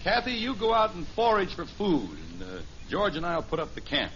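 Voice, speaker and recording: An actor playing a kindly middle-aged American father in a 1950s radio sitcom, gentle, folksy and patient as he plays along with a child. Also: sits high, wildly swooping pitch, normal speed. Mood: playful, eager. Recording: muffled, hissy vintage radio recording.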